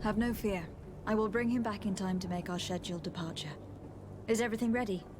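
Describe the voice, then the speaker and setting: A woman's voice: medium pitch, steady tone, quick pace, background noise, lightly acted. An actress playing a courteous, determined noblewoman envoy in a video game, speaking politely and formally. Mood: composed, determined. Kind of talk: video game cutscene acting. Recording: music playing behind the voice.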